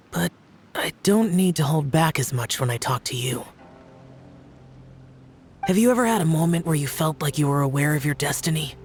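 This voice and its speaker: A man voicing a stoic, burdened immortal warrior in a video game, speaking in a measured, solemn, brooding way. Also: a little high, lightly acted. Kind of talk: dramatic video game monologue.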